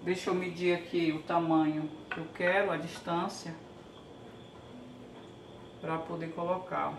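A woman's voice: fairly low pitch, level tone, a little slow. An older woman from Brazil casually narrating a home how-to, chatty and thinking aloud while she works in her kitchen. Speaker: home vlogger. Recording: echoey kitchen, faint background hiss.

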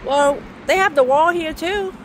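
A woman's voice: high voice, a little slow, slight background noise. A woman with a Vietnamese accent, a native Vietnamese speaker, chatting casually to her viewers while fishing by a river at night, laughing often. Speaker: vlogger. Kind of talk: casual vlog chat to viewers.

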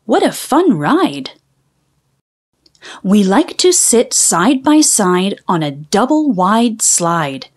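A woman reading a children's phonics storybook aloud in a careful, deliberate way, stretching out key sounds. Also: medium pitch, big pitch swings.

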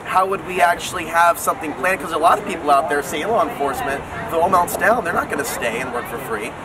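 A man talks quickly and insistently about politics out on a city street at night. His voice sits a little high and his delivery is earnest and argumentative. Traffic noise is clear around him on the handheld camera recording. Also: distant mic. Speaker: city council candidate and local TV show host. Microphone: handheld camera a step or two away. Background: city street ambience and vehicles.

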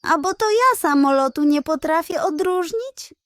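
A woman's voice: extremely high pitch, expressive, moderate pace, no room sound, studio quality, voice pushed up. A woman voicing an indignant, bustling hedgehog baker lady in a children's bedtime story, huffy and insistent.

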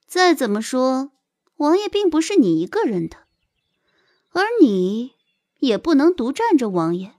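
A woman voicing a haughty, menacing young concubine in an audio drama, sneering and scolding with drawn-out threats. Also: high voice, big pitch swings, warm tone. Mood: condescending. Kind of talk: audio drama character acting.

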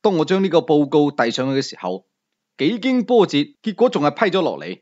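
Male Cantonese audiobook narrator, first-person fiction, slightly high and very varied pitch, somewhat lo-fi sound. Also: very animated, brisk, darkish tone. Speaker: audiobook storyteller.

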